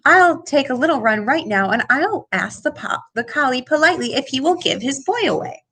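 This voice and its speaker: A woman's voice: high-pitched, animated, a little fast, bright, forceful tone. A woman voicing an eager, wishful and very polite little male puppy in a storybook.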